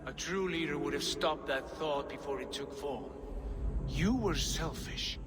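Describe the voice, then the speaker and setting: A man's voice: on the high side, natural voice. A man playing a grave Norse chieftain. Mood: stern. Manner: grave, measured, formal. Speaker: older brother and settlement leader. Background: music.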